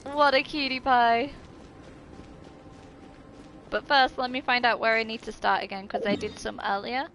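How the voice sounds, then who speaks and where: High-voiced woman chatting while gaming. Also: steady tone, moderate pace, long pauses, a bit bright, a little hiss or hum. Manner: chatty, informal, sweary. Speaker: game streamer. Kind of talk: casual live gameplay chat.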